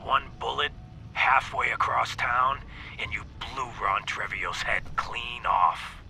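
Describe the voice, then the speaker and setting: An actor playing a cocky, menacing crime boss dictating messages in a video game, theatrical and sardonic with emphatic pauses. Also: male voice, fairly even intonation, vocal fry, pushed and bright, faint music behind, heavily acted. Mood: mocking admiration. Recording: noisy taped message with rumbling ambience.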